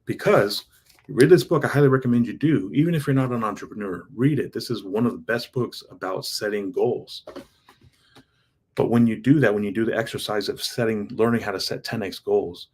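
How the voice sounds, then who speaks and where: A man's voice: on the low side, fairly quick, a little mellow, husky. A man in his thirties talking in an earnest, rambling way about his big goals, often restarting his sentences. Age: 30s. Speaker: self-improvement vlogger. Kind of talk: casual motivational monologue.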